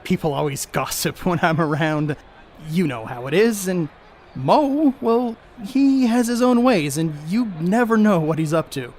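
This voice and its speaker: Man acting brash, high-pitched slangy ballplayer, noisy recording. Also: measured pace, darkish tone. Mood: evasive, folksy. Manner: slangy, folksy, boastful. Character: brash, jovial, crude baseball star, hard-living man. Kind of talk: audio drama acting, 1930s setting.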